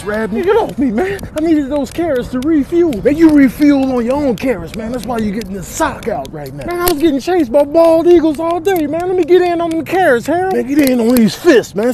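Man doing a high-pitched, cocky, aggrieved animal. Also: expressive, a little fast, few pauses, warm tone. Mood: scrappy. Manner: slangy, confrontational, drops "man" and "bro" constantly. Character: cocky, aggrieved talking animals itching for a fight. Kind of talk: comedic animal voiceover.

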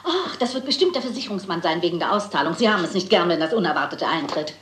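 A woman's voice: fairly low pitch, lively intonation, fast, roomy sound, background noise, natural voice. An actress playing a chatty, catty, insistent widowed landlady in a German crime radio play. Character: gossipy, spiteful, flirtatious widowed landlady.

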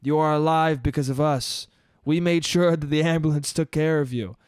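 Solo podcaster, young man, slightly high voice, congested, loose profane rambling, dry close mic in a small room. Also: fairly even intonation, moderate pace, a little mellow, smooth, dry recording. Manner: loose, profane, rambling, laughs at own jokes. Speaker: podcast host. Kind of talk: casual solo podcast monologue. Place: small bedroom studio. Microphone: boom-arm studio mic up close.

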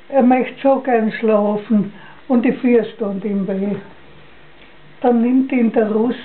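An older woman with a Styrian Austrian accent recites a dialect poem from memory, halting and repeating phrases, on a lo-fi home recording. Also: on the high side. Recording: dull lo-fi home recording, noisy.

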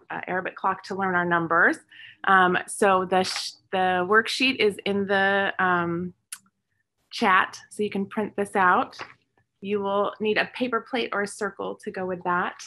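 A cheerful, encouraging middle-aged woman leads a children's lesson from her home. She speaks into a close webcam and repeats each word slowly so the kids can follow. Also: medium pitch, a bit bright. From born and raised in English-speaking countries, Palestinian roots. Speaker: children's storytime host and Arabic teacher. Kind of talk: teaching numbers to young children over a video stream. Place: home room with shelves of toys and books. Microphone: webcam at close range, video call.

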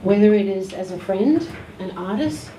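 A woman's voice: medium pitch, lively intonation, moderate pace, warm tone, recorded from a distance, a little hiss or hum. A woman giving a short speech at a café courtyard event. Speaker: event speaker. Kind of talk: speech to a crowd. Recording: echoey, mic'd from afar.

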